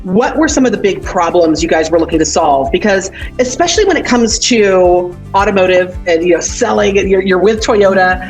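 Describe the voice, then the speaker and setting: A woman's voice: mid-range voice, animated, fairly quick, few pauses, low-quality recording. Effusive woman interviewer, music behind. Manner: effusive, informal. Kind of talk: casual video interview.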